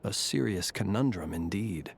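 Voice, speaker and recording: A man's voice: low-pitched, animated, moderate pace, creaky, a little hiss or hum. A man playing a cultured, courteous and slightly morbid warrior in a video game, with formal, old-fashioned phrasing. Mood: courteous, solemn. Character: courteous, cultured, oddly morbid male lantern-bearing warrior. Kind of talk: video game character acting.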